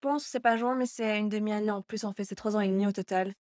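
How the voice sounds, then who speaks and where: A woman, a guest chatting in an interview in a lively way, with many hesitations. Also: mid-range voice, quick pace, no room sound, studio quality. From Belgium. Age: 20s. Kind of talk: casual interview chat.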